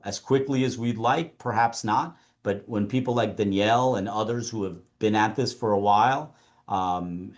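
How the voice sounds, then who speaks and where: A man addresses a conference panel in a fairly low voice. He speaks in a measured, deliberate way and often doubles a word as he gets a phrase going. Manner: measured, repeats words when starting phrases. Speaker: panelist and council member.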